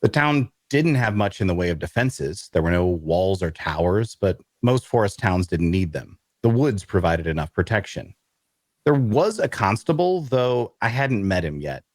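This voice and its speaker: An actor playing an awkward, bookish loner adventurer who narrates an audio drama in a wry way. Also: male voice, low-pitched, big pitch swings, normal speed, no echo, studio quality. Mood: wry narrating. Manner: wry, hesitant in conversation. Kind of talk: first-person narration and dialogue in audio drama.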